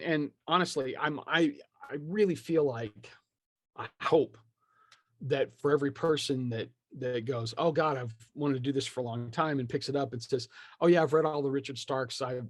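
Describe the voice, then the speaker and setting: A man's voice: mid-range voice, no room sound. Wry man chatting, close dry sound. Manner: animated storyteller, acts out imagined remarks. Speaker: guest, tabletop game writer. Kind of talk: casual conversational interview.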